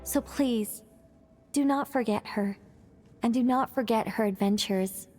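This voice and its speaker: An ageless, high-voiced mage over music. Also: female voice, unhurried, darkish tone. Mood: wistful. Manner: theatrical, musing, self-assured. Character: ageless female mage, fallen angel, wry, wistful storyteller. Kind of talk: scripted game cutscene dialogue. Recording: background music.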